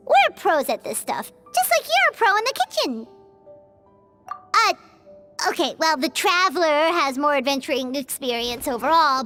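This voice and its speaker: A woman voicing a tiny, childlike female fairy game companion, chirpy, boastful and excitable in a cutesy way. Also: high-pitched, full character voice. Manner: cutesy, excitable, refers to self in third person. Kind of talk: video game voice acting.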